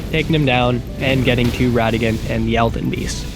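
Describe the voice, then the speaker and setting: A man's voice: mid-range voice, fairly even intonation, brisk, music in the background. A man with a stuffy, nasal voice from a cold, chatting casually and self-deprecatingly as he narrates a video game run over his footage. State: getting sick, congested. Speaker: gaming YouTuber. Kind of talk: casual gameplay commentary voice-over.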